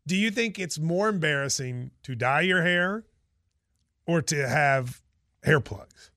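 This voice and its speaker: High-pitched male podcast host. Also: animated, slow pace, sharp tone, dry recording, clean studio sound. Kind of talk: casual banter and promo.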